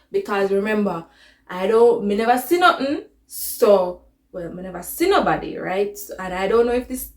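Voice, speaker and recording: A woman's voice: mid-range voice, echoey room. A woman with a Jamaican accent telling a personal story in a casual, dramatic way, slipping into patois. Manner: dramatic retelling that slips into patois.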